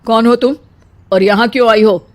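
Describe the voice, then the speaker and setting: A woman voicing a gruff, dismissive male bodyguard in a cartoon story, brusque and challenging. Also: on the high side, fairly even intonation, moderate pace, background noise, natural voice.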